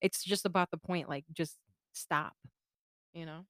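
A woman podcast co-host from Puerto Rico with a smooth, resonant voice. She talks casually and laughs often, switching into Spanish now and then. The sound is close and dry. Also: fairly low pitch, fairly even intonation, measured pace, fairly bright tone, no room sound. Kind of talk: casual chat.

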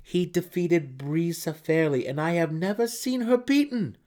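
A nervous young son, stammering and deferential, pleading his case to a feared mother, as a male narrator voices him. His voice is pitched well above a plain male reading voice and strains with worry. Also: unhurried, a little mellow, lightly acted.

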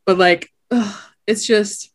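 A woman's voice: mid-range voice, fairly even intonation, takes it slowly. Young woman from haiti, no accent left, casual podcast guest, says "like" a lot, laughs easy. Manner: reflective, lots of "like" fillers, laughs often. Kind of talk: casual conversation.

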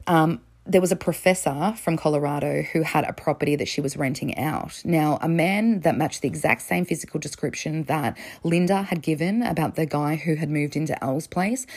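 A woman's voice: deep voice, darkish tone. A young woman casually recounting a true crime case in a chatty, conversational way, with frequent fillers.

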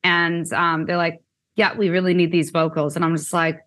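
A woman's voice: lowish voice, level tone, normal speed, a bit bright. A young woman from Canada, a musician, chatting in an interview with lots of "like" and "um". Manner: chatty, many fillers like "like" and "um". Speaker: musician guest. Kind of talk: casual interview chat.

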